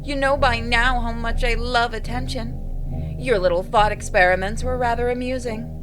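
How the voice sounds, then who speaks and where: A woman's voice: a little high, steady tone, normal speed, over music, close to an everyday voice, rough recording. A woman voicing a playful, smug supernatural cat from a horror game, teasing its prey with theatrical, leisurely condescension. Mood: amused, teasing.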